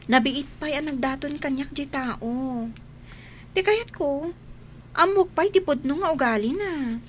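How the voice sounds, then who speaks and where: Casting: actress for young courted woman, high voice, Ilocano accent, sincere and careful radio drama delivery, slight background noise. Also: a little slow. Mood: earnest, hesitant. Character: earnest, cautious young single woman being courted.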